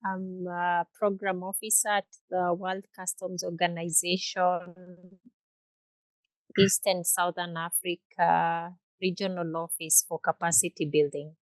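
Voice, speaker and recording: Slow, flat-toned woman program officer. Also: lowish voice, no echo, low-quality recording. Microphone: video call.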